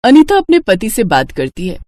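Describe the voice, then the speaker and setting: A woman's voice: fairly high pitch, wildly swooping pitch, normal speed, a little mellow, slight background noise. A woman narrating a scripted moral story as a storyteller.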